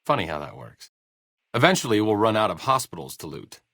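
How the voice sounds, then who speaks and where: A wry, low-voiced bookish doctor. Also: male voice, sing-song, brisk, fairly bright tone, no room sound, exaggerated voice. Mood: dryly musing. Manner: sardonic, erudite, dry understatement. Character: wry, bookish, self-deprecating male doctor and researcher. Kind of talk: video game character dialogue.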